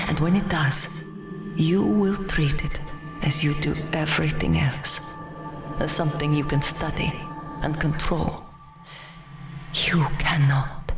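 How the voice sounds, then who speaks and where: An actress playing a grave, imperious woman in an audio drama, commanding and ominous, with a deliberate, often breathy delivery. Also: low voice. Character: grave, imperious woman warning of a consuming plague. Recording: dramatic music behind the voice. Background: music.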